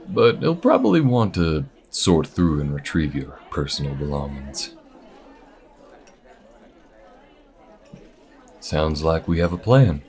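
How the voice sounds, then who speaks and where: Man acting as a very deep-voiced folksy space bounty hunter. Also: exaggerated voice. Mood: warm resolve. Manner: folksy, unhurried, reassuring. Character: folksy, loyal male space bounty hunter, steady and protective. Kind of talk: intimate audio roleplay acting.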